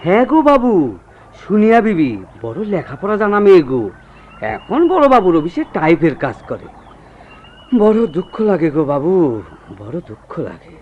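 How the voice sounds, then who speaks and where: A man's voice: sits high, sing-song, moderate pace, long pauses. A man acting a deferential, sympathetic rustic servant with a folksy regional Bengali dialect in a radio drama. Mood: sorrowful sympathy. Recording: dull, noisy lo-fi radio recording.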